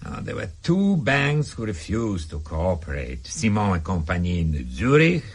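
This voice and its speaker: An actor in a radio drama playing a sly older Soviet bank chairman, measured, knowing and calmly menacing. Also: sits low, slightly rough, heavily acted. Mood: guarded. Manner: measured, knowing, hesitant pauses.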